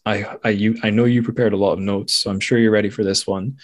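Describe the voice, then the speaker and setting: A man's voice: on the low side, flat delivery, fast talker. A man hosting a podcast in a casual, conversational interview style. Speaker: podcast host.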